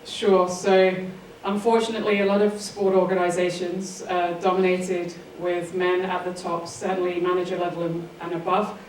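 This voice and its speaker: A woman from England with a southern English accent speaks as an interview guest in a fairly low voice. Her delivery is measured and stays very even in pitch. The sound is roomy and echoing. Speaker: interview guest, sports author. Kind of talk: interview answers, personal storytelling. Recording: roomy echo, distant mic, faint noise.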